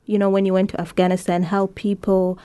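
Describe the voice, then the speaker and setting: A woman's voice: medium pitch, flat delivery, fairly quick, mellow, clear, resonant tone. A woman hosting an interview, encouraging and affirming her guest as she talks. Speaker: interviewer, youth show host. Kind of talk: casual interview.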